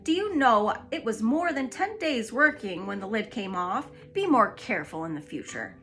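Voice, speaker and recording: A woman voicing a huge male bear who keeps the gate, gruff, slow and stern. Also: a little high, very animated, a bit bright, soft background music.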